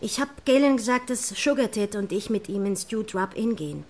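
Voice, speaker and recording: A woman's voice: mid-range voice, expressive, moderate pace, darkish tone. An actress playing a frightened teenage girl in a crime radio drama, confessing in a hesitant, anxious manner. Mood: confessing, subdued.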